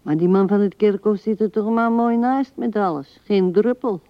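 An actress playing an old widow who muses tenderly and haltingly to her dead husband in a radio play, often sinking to a whisper. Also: medium pitch, no echo, close to an everyday voice. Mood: weary, hurt. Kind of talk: intimate radio play monologue. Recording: dull, dry vintage radio recording.

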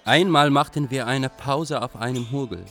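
An actor playing a young Iraqi refugee poet, narrating his flight in a measured, reflective, literary way for a radio drama. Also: medium pitch, fairly bright tone, faint music behind, lightly acted. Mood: grave recounting.

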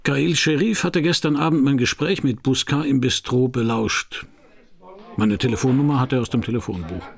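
Gravelly, laconic noir detective. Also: male voice, medium pitch, normal speed. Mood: matter-of-fact. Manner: terse, deadpan. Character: hard-boiled male private detective narrating his case, laconic and wary. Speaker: detective narrator and lead. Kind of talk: German radio crime drama, noir narration and dialogue.

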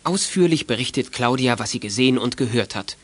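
Guy narrating a kids radio play from a script, mid-pitched voice. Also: expressive, brisk. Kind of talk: scripted audio drama narration.

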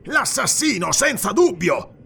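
A jittery male night watchman for a fantasy audiobook. The voice is very high, sharp and raspy. He blusters nervously and exclaims as if frightened. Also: expressive, normal speed, heavily acted. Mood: agitated, explaining. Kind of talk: dramatized fantasy audiobook dialogue.

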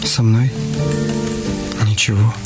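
A man's voice: on the low side, little pitch movement, takes it slowly, over loud music. A man playing a naive, trusting young man on the run in a radio drama. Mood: uncertain. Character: Daniel, naive young runaway man, trusting, easily disappointed.

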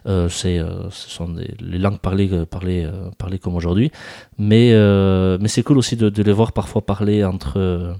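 A man with a low, mellow voice talks through a film in a relaxed podcast chat. He hesitates and restarts often and fills his pauses. His voice sounds close and dry, with no room behind it. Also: creaky, dry recording. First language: Basque speaker. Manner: hesitant, many fillers and restarts. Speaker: podcast host. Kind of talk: casual film discussion.

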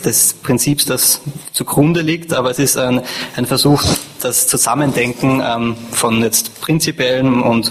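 A man with a slight cold giving an academic lecture, hesitant and full of fillers. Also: medium pitch. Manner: hesitant, many fillers and restarts.

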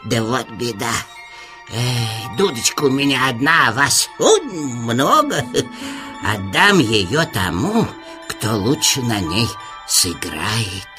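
A woman's voice: extremely low pitch, big pitch swings, slow pace, music in the background, full character voice. A woman voicing a cheerful, kindly old wandering man in a children's fairy tale, folksy and exclamatory. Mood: regretful.

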